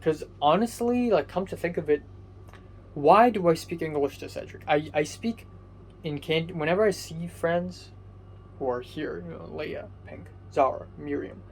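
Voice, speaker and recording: A man's voice: fairly high pitch, unhurried, a little hiss or hum, poor audio. Young man rambling through a story. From Hong Kong. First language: Cantonese. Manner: rambling, frequent fillers and restarts; swears casually. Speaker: vlogger. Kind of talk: casual storytelling monologue.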